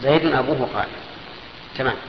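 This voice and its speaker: A man giving a grammar lesson, slowly parsing each sentence step by step and asking questions that he answers himself. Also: medium pitch. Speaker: grammar teacher. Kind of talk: classical Arabic grammar lesson. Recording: echoey hall, dull lo-fi sound with faint noise.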